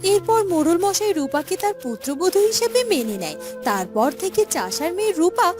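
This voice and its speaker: A woman's voice: very high voice, sing-song, normal speed. Woman narrating a cartoon story over music. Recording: background music under the voice.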